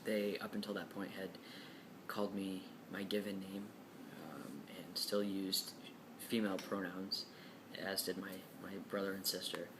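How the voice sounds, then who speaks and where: A young man talking frankly and casually to camera, with many pauses and repeated words. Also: low-pitched, level tone, noisy background. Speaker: speaker answering class questions.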